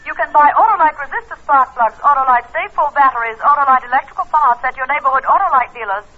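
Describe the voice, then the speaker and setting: Quick, high-pitched radio ad woman. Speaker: commercial announcer. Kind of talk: clipped scripted radio advertisement tag. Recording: muffled, noisy old radio recording.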